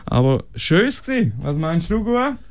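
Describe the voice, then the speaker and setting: A man's voice: high-pitched, sing-song, normal speed, no echo. A man chatting casually as co-host of a music radio show. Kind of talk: casual studio chat between records. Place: studio.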